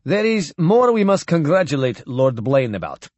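A man's voice: a little high, sing-song, normal speed, a little mellow, no room sound. A man voicing a proud, formal old admiral who speaks in clipped phrases without articles. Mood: ceremonious. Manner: clipped speech dropping articles.

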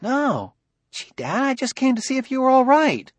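Young boy for science fiction audiobook, played by a man: high, husky, breathless, eager, whining and pleading. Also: very animated, moderate pace, no echo, full character voice. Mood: anxious, breathless. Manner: pleading, squeaky, childlike slang.

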